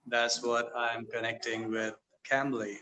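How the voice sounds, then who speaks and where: A man from India whose first language is Hindi, chatting on a video call in a halting way, full of pauses and tag questions. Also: mid-range voice, flat delivery, sharp tone, a little hiss or hum. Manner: hesitant, many fillers and restarts, tag questions. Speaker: student on a language app. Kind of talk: casual video-call conversation.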